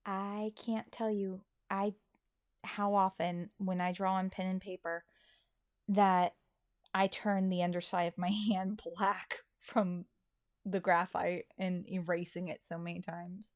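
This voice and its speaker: A woman's voice: lowish voice, flat delivery, slow pace, no echo, studio quality. A woman co-hosting a casual livestream, chatting and answering questions from viewers. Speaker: co-host of a livestream.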